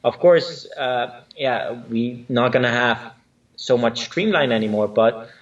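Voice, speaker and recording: A man from Spain, a native Spanish and Dutch speaker, chats in English as an interview guest. He hesitates often and restarts his sentences. His voice sits at a middle pitch and sounds set back from the mic on dull lo-fi video call audio. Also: a little slow, distant mic. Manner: hesitant, many fillers and restarts. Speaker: line dance instructor, guest. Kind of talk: casual interview chat.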